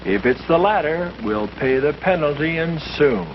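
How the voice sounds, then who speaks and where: A priest speaking earnestly to a small group, with a lively, preacherly way of stressing his points. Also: fairly high pitch, normal speed. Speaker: priest leading a discussion. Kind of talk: earnest scripted speech in a 1951 short film. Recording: muffled old film soundtrack with hiss.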